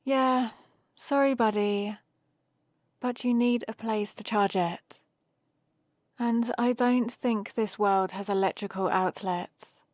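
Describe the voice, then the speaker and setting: Woman acting a slow-talking, playful fantasy heroine. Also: a little high, darkish tone, no echo, clean studio sound. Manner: casual, playful, explanatory rambling. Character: friendly human heroine from Earth, teasing and patient. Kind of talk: ASMR roleplay, one-sided conversation with the listener.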